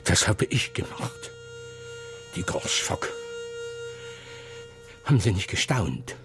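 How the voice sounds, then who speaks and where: Radio play: sly, menacing male widower, very animated pitch, creaky, needling and evasive. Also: slow, music in the background, heavily acted. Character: sly, menacing widower holding a woman captive. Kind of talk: radio drama acting.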